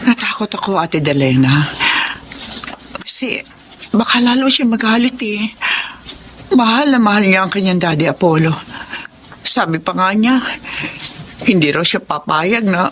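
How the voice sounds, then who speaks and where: A woman playing an anxious, gentle middle-aged widowed mother in a Filipino radio drama, with a Filipino accent. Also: medium pitch, lively intonation, measured pace, long pauses, a little hiss or hum. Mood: troubled.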